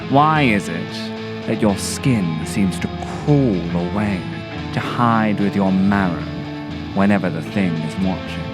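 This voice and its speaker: A man reading scripted narration for a video essay in a theatrical, deliberate, literary manner. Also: deep voice. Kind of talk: scripted narration, dramatic horror-tinged monologue and video essay.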